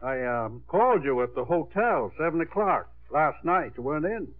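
Nosy older father, man, dryly teasing, high wildly swinging pitch, tinny 1950s radio comedy. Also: very animated, moderate pace. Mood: prying.

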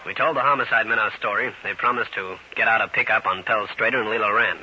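An American actor playing a tough, wry New York private detective, narrating and talking briskly in 1940s radio drama style. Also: male voice, lowish voice, steady tone, bright, forceful tone, faint music behind. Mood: matter-of-fact. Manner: brisk, hard-boiled, clipped delivery. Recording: hissy, dull old-time radio recording.

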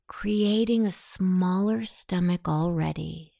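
Slow, low-voiced woman guiding meditation. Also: dry recording, studio quality. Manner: soothing, softly breathy delivery. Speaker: hypnosis meditation guide. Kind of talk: scripted guided meditation.

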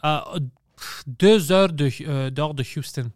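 Sweary bilingual comic host, halting accented Quebec French. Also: male voice, fairly high pitch, no echo, studio quality. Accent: non-native Quebec French with English mixed in. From Montreal, Canada. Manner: sweary, halting French peppered with English slang. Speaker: podcast host and stand-up comedian. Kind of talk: casual podcast chat.

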